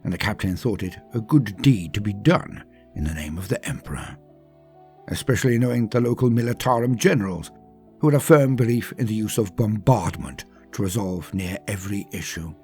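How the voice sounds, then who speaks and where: A man with a husky voice narrates a scripted story in a theatrical, measured, ornate manner. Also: lowish voice, animated, a little mellow, faint music behind. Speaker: channel host and storyteller.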